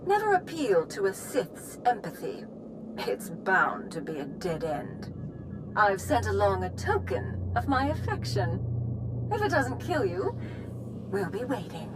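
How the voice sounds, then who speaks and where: Lively, gloating Sith villainess. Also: female voice, mid-range voice, unhurried, noisy background. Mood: smug gloating. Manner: sardonic, taunting, theatrical.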